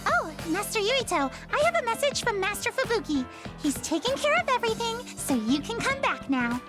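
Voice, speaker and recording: Young female anime game officer: extremely high-pitched, sugary, devoted, gushing, with a snide haughty edge. Lively melody over music. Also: fairly quick. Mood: adoring, bubbly. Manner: gushing, girlish, pointedly snide. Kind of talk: anime game dub acting.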